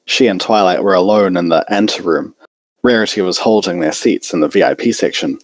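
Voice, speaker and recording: A man's voice: low voice, fairly quick, dry recording. A man reading a fan fiction story aloud as its narrator.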